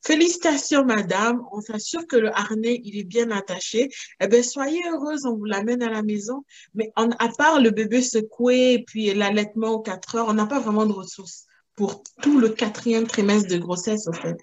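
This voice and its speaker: A woman from Cameroon talks through her story in a relaxed interview. She hesitates often, restarts her sentences and searches for words. The recording sounds dull and lo-fi. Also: a little high, normal speed. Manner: thoughtful, with frequent hesitations and restarts. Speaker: guest, founder of a maternal wellness business. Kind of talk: casual interview.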